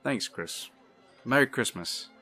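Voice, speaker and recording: A woman as a low-voiced, confident male lawyer. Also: expressive, slow pace, bright, forceful tone, soft background music, exaggerated voice. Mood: friendly, relaxed. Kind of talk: radio play acting.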